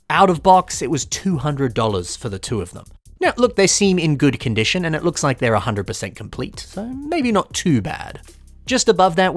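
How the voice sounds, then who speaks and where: A young man, talking casually over a shopping vlog and weighing up toy prices. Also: a little high. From Australia. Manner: chatty, opinionated about prices. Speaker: toy collector vlogger.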